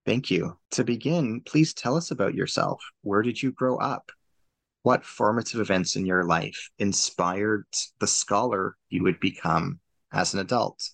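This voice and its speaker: Gravelly low-voiced male podcast host. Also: a little slow, fairly bright tone, no echo. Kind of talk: interview hosting.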